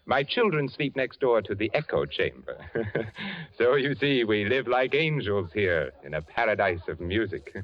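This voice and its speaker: An actor playing a cultured, eccentric rich widower in a 1940s radio drama, speaking in a suave, courtly way with soft chuckles. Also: male voice, lowish voice, big pitch swings, unhurried. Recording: muffled 1940s radio broadcast with organ music.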